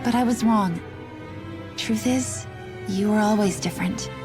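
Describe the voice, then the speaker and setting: An actress playing a proud, doubtful young highborn woman in a game, earnest and questioning in manner. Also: a little slow, music in the background, natural voice. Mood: softening.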